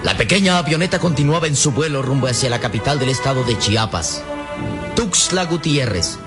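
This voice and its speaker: A man's voice: mid-range voice, expressive, brisk, loud music behind the voice, lightly acted. A man narrating a radio drama in a formal, declamatory, scripted style.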